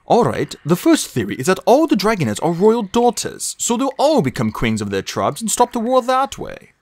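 An earnest young male dragon from a storybook, played by a woman. He is studious and a little unsure, with a lifted, lively delivery and a thoughtful manner. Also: medium pitch, moderate pace, no echo, voice pushed up. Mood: hesitant, thoughtful. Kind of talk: storybook character voice.

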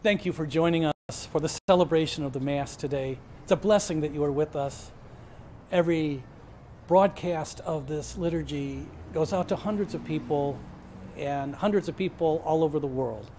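A man's voice: on the high side, moderate pace, a little mellow. A man in his sixties, a parish priest, giving a prepared thank-you message to viewers outdoors. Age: 60s. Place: garden, outdoors. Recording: faint outdoor ambient noise.

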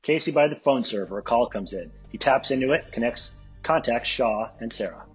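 A man narrating stage directions from a script at a table read, with a very husky voice. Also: medium pitch, lively intonation, fairly quick. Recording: dull lo-fi audio, music behind.

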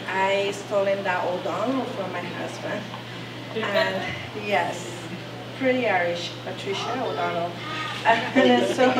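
A middle-aged woman from Costa Rica with a Costa Rican Spanish accent who speaks English as a second language. She gives a halting talk at an exhibition opening and searches for her words. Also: medium pitch. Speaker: art teacher, exhibiting artist. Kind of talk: informal speech at an exhibition opening. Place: bright gallery room, indoors. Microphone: stand mic, recorded by a camera from a distance. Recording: echoey gallery from a distance, noisy.

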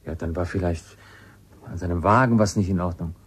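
A man's voice: low voice, brisk, breathy edge. A man acting a sceptical cave explorer, one of an expedition team, in a radio drama.

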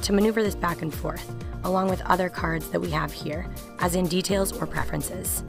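A young woman presents a software walkthrough. Her voice sits a little low and she explains things conversationally. Music plays clearly behind her. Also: fairly even intonation, a little fast. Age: young adult. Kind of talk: software demo narration.

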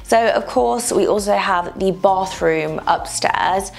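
A woman's voice: medium pitch, slow pace, vocal fry, soft background music. A young woman presenting a casual walk-through house tour on a lapel mic, gushing with enthusiasm and calling nearly everything wonderful. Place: small cottage rooms and brick courtyard.

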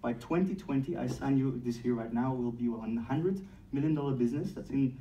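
A man in his thirties from Belgium with a Belgian French accent, giving a playful, energetic startup talk on stage and acting out dialogues. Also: medium pitch, a little fast, highs cut off, slight background noise. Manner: playful, acts out dialogues, laughs at own jokes. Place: large dark event room on a stage. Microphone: distant mic, speaker far from camera. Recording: roomy sound from a distant camera.

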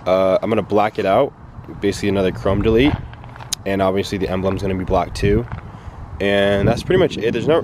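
A young man with a deep, low voice rambles easily to a camera held close. His talk is loose and unhurried. Steady hiss and noise sit behind him. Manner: laid-back, rambling, low-key. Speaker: fitness vlogger. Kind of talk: casual vlog narration. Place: apartment kitchen and parked car. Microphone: handheld camera held close.

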